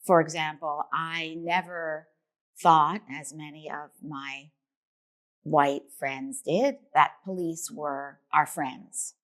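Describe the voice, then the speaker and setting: A woman from New York with a notably low voice answers interview questions. She speaks as a documentary filmmaker, telling her story in an earnest, reflective way. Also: steady tone, takes it slowly, a bit bright.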